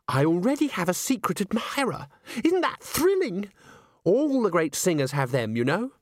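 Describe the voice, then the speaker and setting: A man's voice: high voice, wildly swooping pitch, a little slow, no room sound, clean studio sound, pitched above the performer's own voice, full character voice. A man voicing a gushing, empty-headed young woman singer in a storybook, breathless and cheerful in an exclaiming manner. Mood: gushing excitement.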